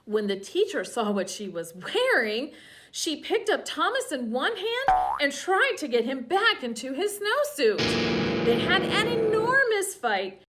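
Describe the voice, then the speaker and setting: A woman reading a children's picture book aloud with lively, expressive character voices. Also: high voice, a little slow, soft background music. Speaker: children's story reader. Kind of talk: storybook read-aloud. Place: church.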